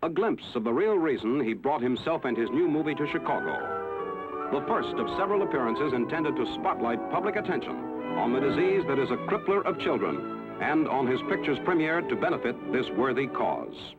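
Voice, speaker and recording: A man narrates an old newsreel in a measured, formal announcer manner, his pitch rising and falling with lively emphasis. The sound is thin and tinny, like an old film soundtrack, with music playing clearly underneath. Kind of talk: scripted 1960s newsreel narration.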